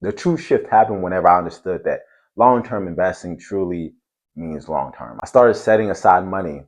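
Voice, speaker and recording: A man from Cameroon hosting a faith and finance channel, giving an earnest, steady talk to camera. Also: low-pitched, moderate pace.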